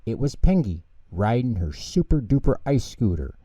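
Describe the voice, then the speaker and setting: A man narrating children's bedtime stories in an expressive storytelling style. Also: medium pitch, moderate pace, breathy edge, no echo. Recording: dull, lo-fi close recording.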